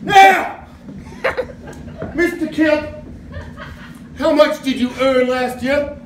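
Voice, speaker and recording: A man on stage playing a sly, bombastic prosecutor with sneering, drawn-out courtroom oratory. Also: extremely high pitch, exaggerated voice. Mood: sly, probing. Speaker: actor in a stage play. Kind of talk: stage adaptation of a 1950s radio drama. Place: live theatre stage. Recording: echoey theatre with background noise.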